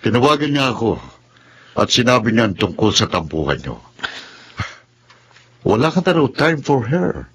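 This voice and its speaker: An actor playing a gentle, wise middle-aged father in a Tagalog radio drama, slipping English phrases into his Tagalog. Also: mid-range voice, sing-song, moderate pace, pauses often, breathy edge. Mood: gentle, persuasive.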